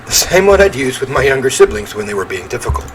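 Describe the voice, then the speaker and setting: A man's voice: mid-range voice, big pitch swings, fast, rough recording. A man hosting a gaming video, reading game text aloud and chatting with informal asides, with noise behind his voice. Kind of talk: casual let's-play commentary, reading game text aloud.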